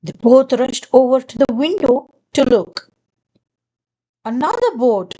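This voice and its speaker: A woman reading a children's storybook aloud in an expressive narrating style. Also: high-pitched, measured pace, low-quality recording.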